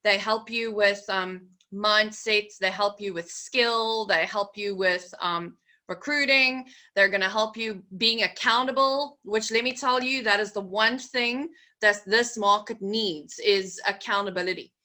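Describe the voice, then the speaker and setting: A woman's voice: medium pitch, measured pace, sharp tone. A woman hosting a team video call, bubbly and enthusiastic. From South Africa. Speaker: host of a corporate call. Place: guest house room.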